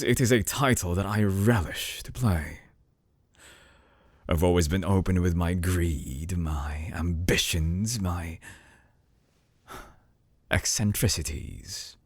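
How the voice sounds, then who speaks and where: A man playing a suave, obsessive villain scientist in an intimate audio drama, murmuring with silky condescension in a breathy, theatrical way, close to the mic. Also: dry recording, heavily acted. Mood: teasing, proud. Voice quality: low, breathy, husky murmur. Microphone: close binaural microphone.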